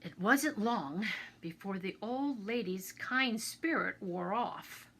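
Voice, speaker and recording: A woman narrating a children's bedtime story in a theatrical, expressive manner, as a storyteller. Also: mid-range voice, takes it slowly, slightly rough. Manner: theatrical, expressive, with exclamations and asides.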